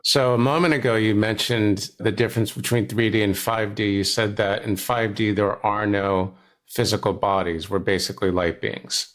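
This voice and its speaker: A man's voice: lowish voice, steady tone, normal speed, fairly bright tone. A man hosting an interview podcast, asking curious, conversational questions with a light touch of humor. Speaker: podcast host.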